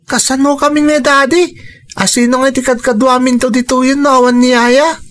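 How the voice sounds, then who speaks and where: A woman's voice: high-pitched, little pitch movement, a little fast, close to an everyday voice. A woman playing a stubborn, resentful young boy in a radio drama, insistent and emotional as a protective older brother. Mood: dismayed. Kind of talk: Ilocano radio drama acting.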